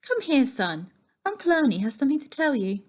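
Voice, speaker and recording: A woman voicing a firm, bossy aunt who is kind underneath, speaking briskly in a storybook reading. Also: sits high, very animated, a little mellow, voice pushed up. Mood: coaxing. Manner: brisk, commanding.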